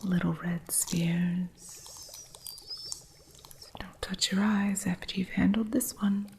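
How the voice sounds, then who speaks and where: Soft-spoken woman, low whispered delivery with a gravelly grain, unhurried, gently instructive with a playful strictness. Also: fairly even intonation, a little hiss or hum. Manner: gentle, teaching, teasingly strict with an apprentice. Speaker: ASMR artist. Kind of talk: whispered ASMR roleplay as a witch shopkeeper.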